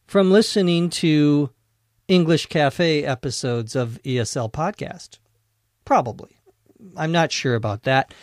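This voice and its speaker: Man, English-learning podcast host, very slow careful delivery, spelled-out words, dry jokes, fairly high voice. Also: no echo. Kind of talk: slow, clear ESL podcast explanation.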